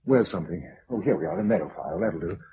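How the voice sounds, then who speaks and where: Vintage radio drama survivor: English, measured, practical and protective, lively range, muffled noisy recording. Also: male voice, deep voice. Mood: steady, reassuring. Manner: measured, matter-of-fact. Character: calm, practical man surviving a catastrophe, protective of his companion. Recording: dull old radio recording with noise.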